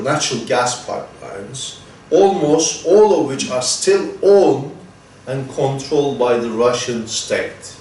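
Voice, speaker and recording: A man's voice: medium pitch, a little mellow. A man in his fifties, a native Turkish speaker, giving a seminar lecture with many restarts and repeated words and a dry touch of humor. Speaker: guest lecturer. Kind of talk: academic seminar talk. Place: seminar room. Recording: echoey seminar room, distant mic, faint noise.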